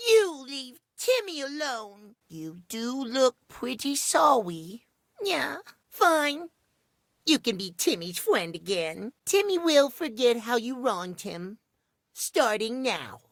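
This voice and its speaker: A man's voice: very high-pitched, sing-song, takes it slowly, a bit bright, no echo, studio quality, exaggerated voice. A man voicing a childish, needy living clock boy in a video game, with cutesy, playful baby talk that refers to himself in the third person. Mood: pouty. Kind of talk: animated video game character acting.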